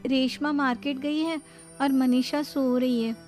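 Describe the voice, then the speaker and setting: A woman's voice: high-pitched, fairly even intonation, a little slow, darkish tone, faint music behind, voice pushed up. A woman voicing a meek, dutiful young sister-in-law in a cartoon story, speaking obediently. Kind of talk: cartoon story character acting.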